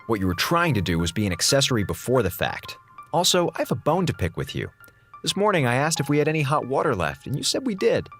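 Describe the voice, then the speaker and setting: An actor playing a weary, sarcastic communications officer on a space station in a radio drama, wry and melodramatic. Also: male voice, medium pitch, huge pitch swings, fast, music in the background. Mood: indignant. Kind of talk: radio drama acting, log narration.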